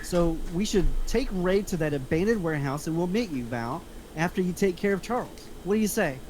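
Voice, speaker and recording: A man's voice: sits high, animated, fairly quick, a little mellow, a little hiss or hum, poor audio. A man playing a nervous, pleading male Irish hitman who is insistent and out of his depth. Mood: reasoning. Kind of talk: script table read.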